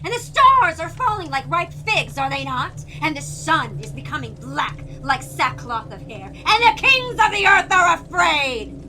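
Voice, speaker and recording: A woman's voice: high-pitched, big pitch swings, a little slow, few pauses, bright, forceful tone, a little hiss or hum, full character voice, poor audio. A woman playing a scornful, jealous middle-aged queen in an audio drama, imperious and mocking. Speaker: actor. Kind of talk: theatrical audio drama acting.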